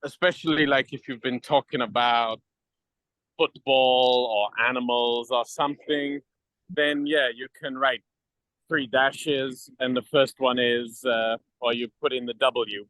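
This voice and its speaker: A man with an English accent talks casually as an online teacher. His voice is bright and sharp at a middling pitch. The sound is very dry. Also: steady tone, measured pace, no echo, rough recording. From England. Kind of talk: casual chat.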